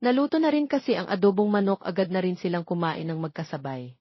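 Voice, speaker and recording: A woman's voice: lowish voice, quick pace, no room sound, highs cut off. A woman with a Filipino accent reading a scripted romance audiobook, voicing the dialogue as she goes. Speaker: audiobook narrator. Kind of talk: scripted romance story narration with voiced dialogue.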